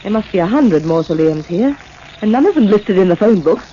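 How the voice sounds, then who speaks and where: A woman's voice: medium pitch, lively intonation, a little fast, dark tone, close to an everyday voice. A woman playing a wry, plucky lady sleuth's companion in a 1940s radio drama. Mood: wry bravado. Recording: muffled, hissy old radio recording.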